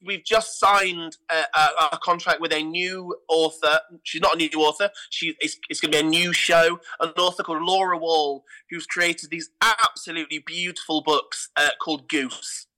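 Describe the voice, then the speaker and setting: A man with a very bright, forward voice pitched on the high side. He chats casually as an interview guest, with an enthusiastic and effusive manner, like a theatre director keen on his work. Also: fairly even intonation, normal speed, hardly any pauses, rough recording.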